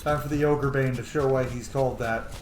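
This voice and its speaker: A man's voice: mid-range voice, fairly even intonation, brisk, roomy sound, a little hiss or hum. A man giving casual, playful gameplay commentary, muttering to himself and exclaiming, with some swearing. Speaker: gamer playing and commenting on a role-playing game.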